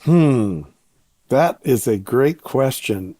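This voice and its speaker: A man's voice: mid-range voice, animated, slow, warm tone, dry recording. A man speaking as a podcast guest in casual interview chat, easygoing and polite. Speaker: podcast guest, winery owner.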